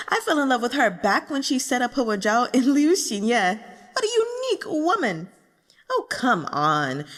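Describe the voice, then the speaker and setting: An excitable, gossipy novel fan played by a woman in an audiobook. The delivery is gushing and emphatic, with very animated pitch that often jumps up high. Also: big-room sound, full character voice. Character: excitable, gossipy online novel fans trading opinions.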